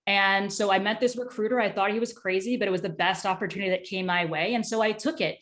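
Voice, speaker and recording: A woman interview guest talking conversationally, in a candid, excitable and talkative way. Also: mid-range voice, quick pace. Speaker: tech startup executive, interview guest.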